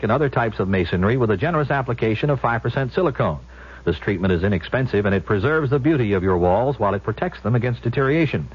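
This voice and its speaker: A man's voice: medium pitch, slightly rough. A man reading a scripted local radio commercial in a vintage announcer style. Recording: dull vintage radio broadcast.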